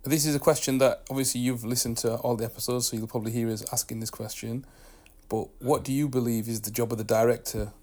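Male podcast host, rapid delivery, casual and blunt in his questions. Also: mid-range voice, steady tone, a little mellow. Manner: loose, chatty, swears freely.

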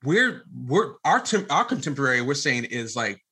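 A man's voice: medium pitch, sing-song, moderate pace, bright, forceful tone, slightly rough. A man in his late twenties answering as a podcast guest, emphatic and conversational, often repeating phrases and restarting as he explains his venture. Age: late 20s. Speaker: podcast guest, media app founder.

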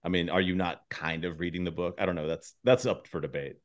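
A man hosting a casual interview chat, speaking in a halting, rambling way. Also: sits low, fairly even intonation. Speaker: podcast host.

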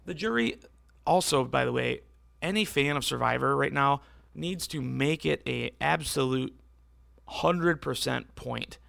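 A man hosting a casual solo podcast, rambling and correcting himself as he talks, with a voice that is going hoarse. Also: mid-range voice, unhurried, fairly bright tone, no echo. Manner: rambling, self-correcting, conversational. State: losing his voice, hoarse. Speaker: podcast host.

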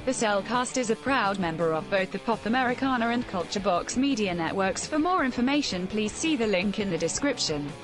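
A woman reading a scripted podcast outro in an even, listing delivery. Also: mid-range voice, a little fast, hardly any pauses. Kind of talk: scripted read-out. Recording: guitar music underneath.

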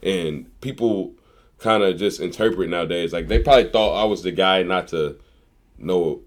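A man in his twenties from Missouri, talking as a podcast guest in a rambling, animated way and repeating phrases for emphasis. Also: sits low, normal speed. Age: 20s. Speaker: guest, local activist. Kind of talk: casual conversation.

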